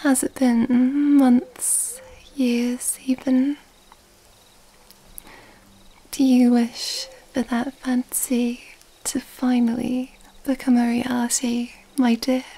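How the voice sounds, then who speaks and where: A woman playing a seductive, mysterious female vampire in an ASMR roleplay, teasing and intimate in a soft, breathy whisper. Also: fairly high pitch, flat delivery. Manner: slow, intimate, teasing delivery.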